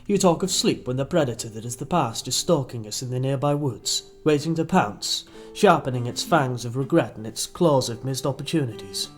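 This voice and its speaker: A man's voice: mid-range voice, animated, normal speed, hardly any pauses, soft background music, close to an everyday voice. A man playing an arrogant, sardonic, brainy dealer in a comic audio drama, speaking in a theatrical, pompous way. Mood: melodramatic.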